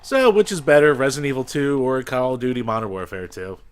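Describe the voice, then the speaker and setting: A man talks as a podcast co-host in loose, casual banter about games. His pitch sits in an ordinary male range, and he swears freely while poking fun at himself. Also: big pitch swings, fast. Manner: profane, self-mocking.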